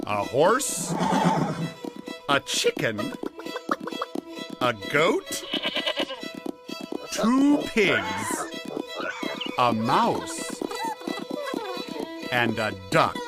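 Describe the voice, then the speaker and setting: A woman reads a children's picture book aloud at a very unhurried pace, her lowish voice swinging widely in pitch for expressive storytelling. Prominent music plays under her reading. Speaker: storybook reader.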